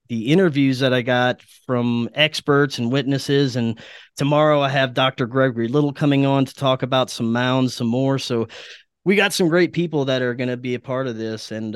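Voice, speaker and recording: A man's voice: mid-range voice, clean tone, no room sound, clean studio sound. An American man from Louisiana talking casually as a podcast guest about his work, with enthusiasm and laid-back, slangy phrasing. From Louisiana, United States. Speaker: podcast guest, documentary maker.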